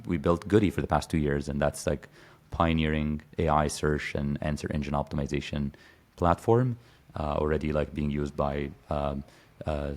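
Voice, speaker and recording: Podcast guest: man in his thirties from Egypt, deep and even, loose and chatty with many restarts. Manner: rambling, many fillers and restarts. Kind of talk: casual conversation.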